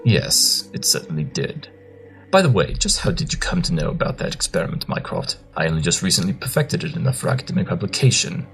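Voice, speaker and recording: Guy doing snooty genius pony detective, really low voice, clipped and sarcastic, bit of music under it. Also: very animated, brisk, creaky, a bit bright, exaggerated voice. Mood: brisk, dry. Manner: pompous, clipped, dismissive. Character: brilliant, irritable male pony detective, pompous and sardonic. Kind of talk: scripted audio drama acting.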